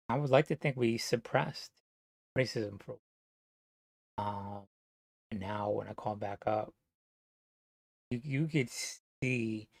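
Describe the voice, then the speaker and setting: A man from New Jersey talking to camera in a rambling, casual monologue, halting and full of fillers, swearing now and then. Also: lowish voice, gravelly, no room sound, low-quality recording. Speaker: vlogger.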